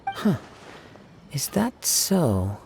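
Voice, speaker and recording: Calm, courteous young samurai. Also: male voice, a little high, gravelly, a little hiss or hum, exaggerated voice. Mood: calm, serious. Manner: calm, polite, measured. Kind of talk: English anime game voice acting. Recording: music behind the voice.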